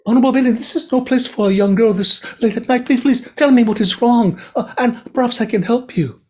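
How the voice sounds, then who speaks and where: A man's voice: extremely high pitch, fairly quick, a little mellow, pitched above the performer's own voice. A man voicing a kind merchant who is terrified, pleading and stammering. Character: panicked kind male merchant, high and frantic.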